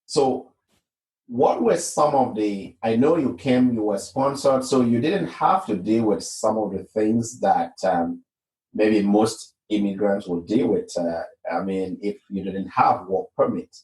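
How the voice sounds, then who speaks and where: A man's voice: on the low side, measured pace, darkish tone. A man hosting a podcast, interviewing his guest in an encouraging way with plenty of fillers. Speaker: podcast host. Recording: echoey room, distant mic.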